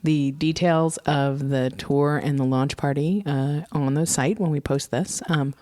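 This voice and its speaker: A woman's voice: low voice, steady tone, a little slow, dark tone, no echo. A woman co-hosting a podcast, giving event details in an informal, direct, chatty way. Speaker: podcast co-host. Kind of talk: casual podcast chat, announcing tour details.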